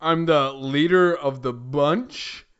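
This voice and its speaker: A man's voice: on the high side, takes it slowly, a bit bright, smooth, muffled recording. A man voicing a big, easygoing, boastful talking ape in a comic fanfic reading. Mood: proud. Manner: goofy, proud, casual.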